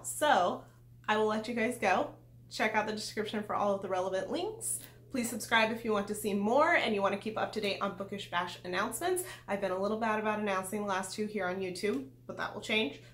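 A woman's voice: on the high side, fairly bright tone. A young adult woman, a book vlogger making a casual announcement to camera in a room at home. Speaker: book vlogger, channel host. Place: small room at home with bookshelves, indoors. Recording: echoey room sound.

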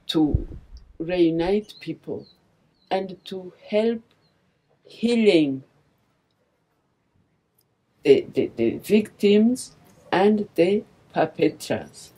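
An older woman speaks with a Rwandan accent in a dark, soft-edged voice. She gives personal testimony at a slow pace, choosing her words deliberately. Her delivery is earnest and halting, as in a filmed interview. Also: low-pitched, slightly rough, rough recording. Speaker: interviewee, former liberation movement member.

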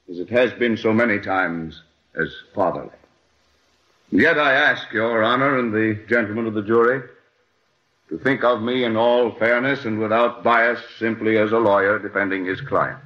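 An actor playing an earnest, fatherly defense lawyer, formal and measured, in an old-time radio drama. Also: on the low side, close to an everyday voice. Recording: muffled vintage radio recording.

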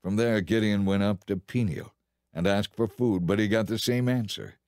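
A man narrating a dramatized audio Bible in a measured, clearly enunciated storytelling style. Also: lowish voice, fairly even intonation, a little mellow, dry recording, studio quality.

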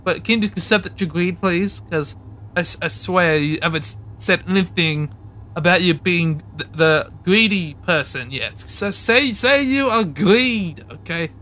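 A high, bright voice from a man plays a comic personification of lust in a video game dub. He is scatterbrained and theatrical, stumbling over his words as he rambles. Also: measured pace, a little hiss or hum. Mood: pleading. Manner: rambling, stammering, melodramatic wailing. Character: dramatic, scatterbrained male sin of lust in comedy dub. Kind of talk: improvised comedic game dub.

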